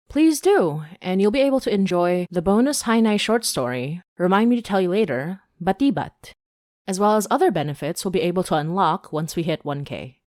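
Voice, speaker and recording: A woman's voice: medium pitch, darkish tone, dry recording, studio quality. A woman hosting a fiction podcast, reading announcements and scripted story narration.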